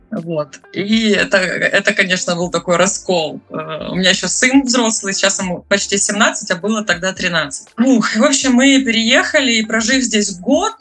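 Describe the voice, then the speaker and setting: A woman's voice: mid-range voice, pushed and bright. A woman in her thirties from Russia, a hairdresser telling her story in a chatty, casual interview with lots of fillers. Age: 30s. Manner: chatty storyteller, many fillers, laughs often. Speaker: guest, hairdresser living abroad.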